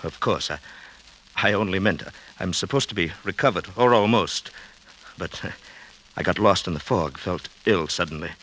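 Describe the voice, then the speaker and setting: Shell-shocked young Canadian soldier, low tense voice, hesitant, vintage radio drama with surface noise. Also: male voice, fairly bright tone. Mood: hesitant, troubled. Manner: halting, hesitant, polite. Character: shell-shocked young Canadian army veteran, shaken and haunted. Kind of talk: 1940s radio drama acting. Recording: noisy vintage 1940s radio recording.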